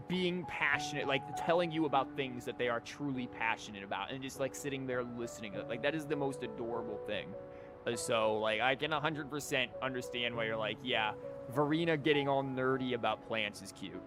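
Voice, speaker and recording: Male game streamer, mid-pitched, casual rambling chat with music behind him. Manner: rambling, conversational.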